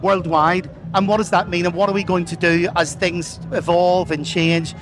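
A man's voice: sits high, level tone, normal speed. A middle-aged Irish man answering live interview questions at an outdoor festival, earnest and full of corporate phrasing and fillers. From Ireland. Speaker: corporate sponsor representative.

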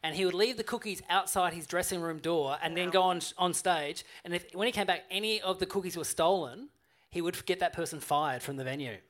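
High, bright-voiced male comedy quiz host. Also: a little fast. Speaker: comedy panel show host and quizmaster. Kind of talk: live comedy panel hosting, reading quiz questions. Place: live venue stage with audience. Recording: live comedy show before an audience.

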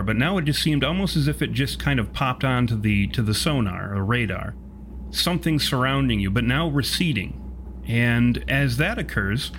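A man's voice: mid-range voice, a little hiss or hum. A man narrating a tabletop game as game master, improvising in wordy, formal phrases.

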